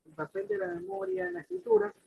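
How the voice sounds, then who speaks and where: A man teaching a class in a loose, chatty lecture, his voice leaping between high and low. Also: very animated, quick pace, creaky, phone-quality sound, slight background noise.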